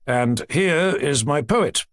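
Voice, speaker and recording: A man reading aloud, voicing a genial aristocratic father who speaks slowly and measuredly. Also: fairly high pitch, animated, fairly bright tone, no echo, clean studio sound, voice pushed up.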